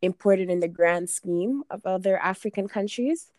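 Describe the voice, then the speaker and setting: A young woman from Sudan talks as a podcast guest, loose and chatty, full of fillers and false starts. Her pitch sits in the middle for a woman. The sound is dry, with no room in it. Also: moderate pace, darkish tone, no room sound. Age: young adult. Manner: chatty, many fillers and restarts. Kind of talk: casual interview talk.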